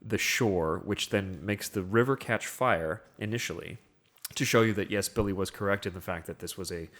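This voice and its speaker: Male film podcast host, lower register, casual conversational recapping with little acted asides. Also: normal speed, fairly bright tone.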